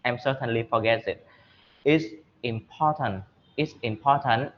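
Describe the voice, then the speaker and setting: A man with a Vietnamese accent, an English learner doing speaking practice, halting and repeating phrases as he searches for words. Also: medium pitch, muffled recording.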